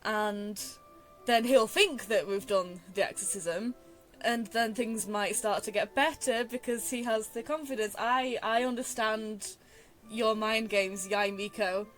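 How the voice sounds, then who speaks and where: A woman's voice: medium pitch, a little slow, fairly bright tone, soft background music, poor audio. A young woman giving casual let's play commentary, rambling and thinking aloud. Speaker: gamer commenting on her playthrough.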